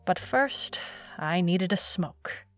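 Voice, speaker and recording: A woman playing a wry, dogged private eye in a noir audio drama, speaking in clipped, deadpan phrases. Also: lowish voice, slow, music in the background. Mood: brooding.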